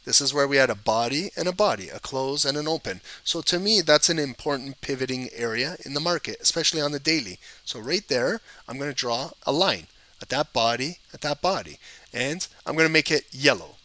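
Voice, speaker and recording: A man with a cold and a hoarse voice, explaining trading charts to viewers in a casual, conversational way. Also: mid-range voice, animated, brisk, pushed and bright, poor audio. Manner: conversational, addresses viewers directly. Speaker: trading educator. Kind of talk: live-streamed chart analysis.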